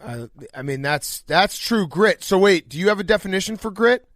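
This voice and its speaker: An American man with a fairly high voice banters as a sports podcast host. He is enthusiastic and jokey, talking like one of the bros. The sound is dry with little room. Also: lively intonation, moderate pace, fairly bright tone, no room sound. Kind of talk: casual banter interview.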